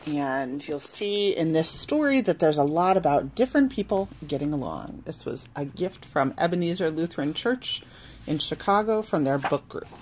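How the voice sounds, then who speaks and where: A woman reading a children's storybook aloud in an expressive, deliberate way, pausing between phrases. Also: low-pitched, a little hiss or hum, rough recording. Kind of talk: storybook read-aloud with asides.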